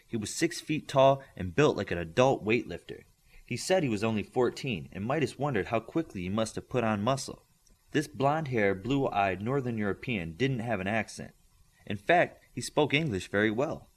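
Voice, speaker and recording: A man's voice: fairly low pitch, lively intonation, moderate pace. A man reading scripted story narration as an audiobook reader.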